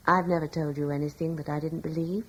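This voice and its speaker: Actress playing a gentle, devout Roman-era wife. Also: female voice, low-pitched, steady tone, quick pace, slight background noise, natural voice. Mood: earnest. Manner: soft, earnest, quietly faithful. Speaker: wife in a radio play. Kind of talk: 1950s-60s radio drama acting. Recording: dull old radio broadcast.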